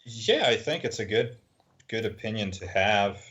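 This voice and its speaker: Low voiced guy on a gaming podcast, measured opinions, bit of a dull lo-fi mic. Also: lively intonation, fairly bright tone. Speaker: podcast panellist. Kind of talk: casual gaming discussion.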